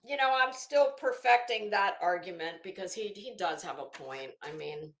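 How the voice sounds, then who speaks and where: A woman's voice: mid-range voice, lively intonation, moderate pace, a bit bright, roomy sound. A middle-aged woman hosting a casual livestream, rambling through stories in a sassy, wry, profane way. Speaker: livestream host.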